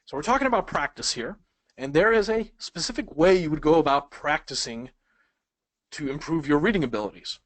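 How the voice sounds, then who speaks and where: A man's voice: on the high side, animated, a little fast, no room sound. A man whose first language is Polish, teaching speed reading in a conversational webinar and asking the audience questions. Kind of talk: webinar lesson.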